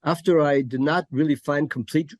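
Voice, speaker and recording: Halting older man, dry sound. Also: a little high, steady tone, no room sound. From Connecticut, USA. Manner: halting, many fillers and restarts. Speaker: guest. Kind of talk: casual interview conversation.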